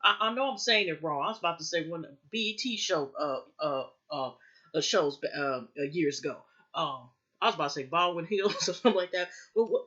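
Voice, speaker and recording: A woman's voice: lowish voice, fairly bright tone, lo-fi sound. A woman recapping a TV episode in a loose, rambling way, with lots of fillers and restarts. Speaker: TV show reviewer.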